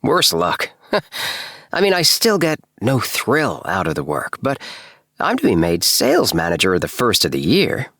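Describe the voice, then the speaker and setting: A man voicing an eager, excitable house-obsessed gentleman with a breathless, effusive tenor in a storybook reading. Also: on the low side, measured pace, no echo, studio quality, exaggerated voice. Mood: rueful, hopeful. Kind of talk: storybook character dialogue.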